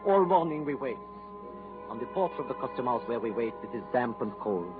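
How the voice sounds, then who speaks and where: A man with a French accent playing an excitable, loyal manservant in a radio drama, full of theatrical laments. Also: medium pitch, fairly quick, natural voice. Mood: despairing. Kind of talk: 1940s radio drama acting. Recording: tinny old radio broadcast with music.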